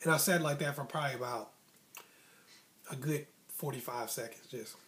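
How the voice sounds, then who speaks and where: A man's voice: medium pitch, moderate pace, fairly bright tone. A man hosting an advice vlog, telling a personal story in a casual, colloquial, conversational way and voicing remembered dialogue. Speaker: online advice host telling personal stories.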